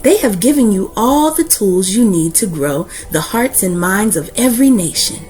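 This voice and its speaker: A woman reading a children's picture book aloud as host, in a deliberate, emphatic way with dramatic pauses. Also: mid-range voice, lively intonation, darkish tone, faint music behind.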